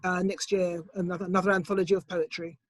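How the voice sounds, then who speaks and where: A middle-aged woman poet answering interview questions thoughtfully, in a measured, unhurried way on a video call. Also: lowish voice, level tone, dry recording. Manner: thoughtful, measured, with soft hesitations. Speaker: poet, competition winner. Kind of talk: online interview, reflective answers. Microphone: computer mic on a video call, set quiet.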